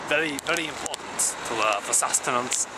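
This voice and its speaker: A young man performs a self-styled wandering samurai who rambles in a flat, deadpan way at a middling pitch. He breaks into sudden crude outbursts. The recording is outdoors, with loud street and traffic noise behind him. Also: a little fast, lightly acted. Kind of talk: improvised character comedy to camera.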